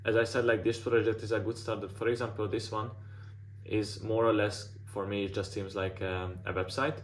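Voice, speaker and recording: Non-native English-speaking man, design mentor, low monotone delivery, filler-heavy casual advice, faint noise on the line. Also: normal speed. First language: not English. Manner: frequent "like" fillers and restarts. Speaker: design mentor giving portfolio feedback. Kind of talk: casual feedback session.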